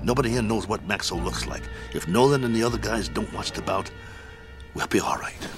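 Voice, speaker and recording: A stubborn, washed-up ex-fighter, played by a man with a low voice who barks short, clipped orders. He sounds set on his course and won't be talked out of it. A dramatic music score runs behind this radio play. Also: a little fast, lightly acted. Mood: grim resolve. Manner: terse, commanding, clipped orders. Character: stubborn, determined middle-aged male ex-boxer.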